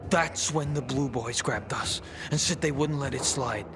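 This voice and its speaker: A man's voice: medium pitch, measured pace, lightly acted. A man acting a hot-tempered, resentful prisoner in a video game cutscene, accusing and foul-mouthed. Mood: glum. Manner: agitated, accusing, profane outbursts. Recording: music behind the voice.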